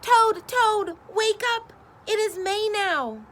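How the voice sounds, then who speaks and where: An eager male frog from a children's storybook calls out in a high, loud voice, as a woman reads him aloud. The tone is bright and lively, and he races along. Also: takes it slowly, a little hiss or hum, full character voice. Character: eager cheerful male frog, excited friend.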